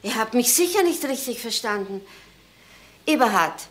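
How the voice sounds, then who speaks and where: A woman's voice: on the high side, lively intonation, moderate pace, fairly bright tone, reverberant, slight background noise. An actress playing an earnest, wistful widowed mother of grown sons, hesitant and imploring, in a naturalistic crime drama. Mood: hurt, bewildered.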